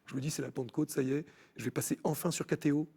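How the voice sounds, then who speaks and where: A man with a slightly high voice tells his faith story as a studio guest. He slips into the voices of people he quotes. His delivery is animated. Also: rapid-fire, husky. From France. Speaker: guest, musician. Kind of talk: personal faith testimony. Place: TV studio set.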